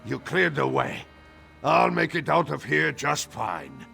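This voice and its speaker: Gravelly, roguish sea captain. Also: male voice, fairly high pitch, expressive, slow, sharp tone, soft background music, full character voice. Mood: gruff, shrewd. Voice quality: gravelly, creaky. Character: gruff roguish sea captain, mercenary with a soft heart. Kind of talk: video game character dialogue.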